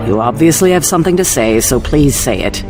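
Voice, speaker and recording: A man's voice: mid-range voice, animated, fairly quick, over music, lightly acted. A man playing a stern, protective middle-aged mentor with a sardonic, commanding manner in a video game. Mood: impatient. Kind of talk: video game cutscene acting.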